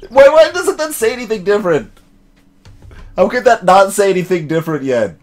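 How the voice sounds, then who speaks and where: A man's voice: sits high, sing-song, brisk. A man giving casual game commentary and reading dialogue aloud, musing and repeating his phrases as he thinks. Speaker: let's play host.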